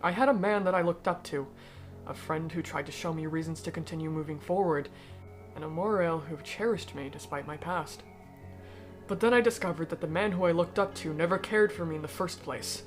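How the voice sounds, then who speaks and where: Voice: medium pitch, faint music behind, natural voice. A performer in a fan dub voicing a cold, aristocratic alien noble who speaks formally and eloquently, bitter yet persuasive. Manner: formal, eloquent, measured speech. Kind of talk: fan-dub voice acting of comic dialogue.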